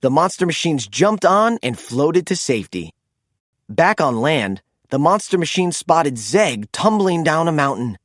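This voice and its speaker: A man narrating a children's storybook in an expressive, animated read-aloud style. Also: fairly high pitch, unhurried, dry recording, clean studio sound.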